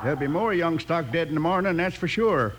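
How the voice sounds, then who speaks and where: An American actor playing a weathered, plain-spoken old rancher with a wry streak in a western radio drama. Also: male voice, on the high side, lively intonation, fairly quick, slightly rough. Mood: worried. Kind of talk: 1950s western radio acting.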